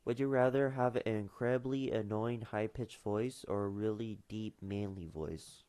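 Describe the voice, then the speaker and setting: A man's voice: lowish voice, fairly even intonation, moderate pace, darkish tone, clear, resonant tone, no room sound. A teenage boy streaming casually, mumbling and trailing off with fillers as he reads questions aloud. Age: teens. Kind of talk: casual livestream chat.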